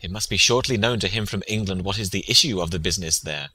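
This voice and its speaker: An actor playing a prince's loyal, sensible friend in a Shakespearean audio drama, plain and dryly observant. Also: male voice, low-pitched, fast, pushed and bright, no echo, heavily acted. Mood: grave.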